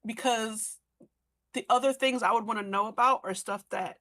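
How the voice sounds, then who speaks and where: A woman's voice: a little high, moderate pace, fairly bright tone. A woman chatting casually as a podcast cast member, informal and thinking aloud as she goes. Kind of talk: casual panel chat.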